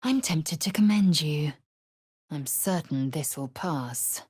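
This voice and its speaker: An actress playing a fierce, proud alien warrior woman in a video game, with a raspy voice and curt, imperious, formal diction. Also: low-pitched, animated, slow, a little mellow, dry recording, studio quality, heavily acted. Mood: measured, wry.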